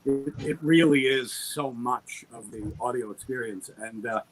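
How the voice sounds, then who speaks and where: A man's voice: mid-range voice, a little slow, slight background noise, rough recording. A middle-aged man talking as an interview guest in an enthusiastic, rambling, self-deprecating storytelling way on an online call. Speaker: guest, audio drama producer. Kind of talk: conversational interview talk.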